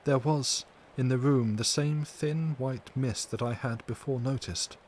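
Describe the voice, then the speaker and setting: A man voicing a distressed but tender and brave young wife, recounting in an earnest, measured, formal way for an audiobook. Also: medium pitch, natural voice. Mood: troubled recounting. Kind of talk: dramatic audiobook character reading. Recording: steady background noise.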